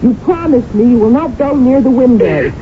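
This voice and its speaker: A woman's voice: on the high side, lively intonation, normal speed, narrow, tinny audio, natural voice. An actress in a radio drama playing a firm, practical woman who insistently reasons with a panicking companion. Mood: firm, soothing. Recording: thin, band-limited old radio broadcast, hissy.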